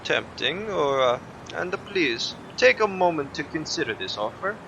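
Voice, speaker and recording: An actor playing an arrogant, menacing supernatural villain in an audio drama, speaking with theatrical, mocking disdain. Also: male voice, on the high side, very animated, unhurried, creaky, noisy background, exaggerated voice. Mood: mocking menace. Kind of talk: scripted audio drama acting.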